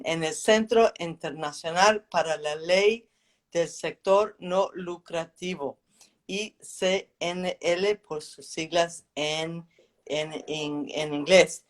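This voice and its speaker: A woman whose first language is English, speaking as an interview guest in a careful, hesitant, deliberate way. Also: lowish voice. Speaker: guest, legal advisor. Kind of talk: introducing herself in a live interview.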